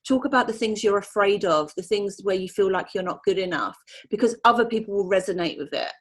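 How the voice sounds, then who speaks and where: A woman business mentor giving candid, energetic answers in an interview, often saying "you know". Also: mid-range voice, a little fast, breathy edge.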